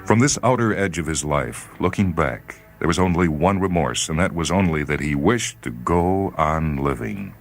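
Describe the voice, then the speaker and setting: A man with an extremely deep voice reads fiction in a grave, dramatic style. His deliberate phrasing rises and falls expressively, with music playing behind him. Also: animated. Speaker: short story reader on a radio series. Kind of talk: dramatic scripted literary narration.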